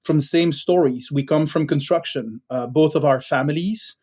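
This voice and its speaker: A middle-aged man from Belgium with a Belgian accent, a podcast guest telling a story in a halting way with many fillers. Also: fairly high pitch, darkish tone, slightly rough. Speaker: podcast guest, company founder.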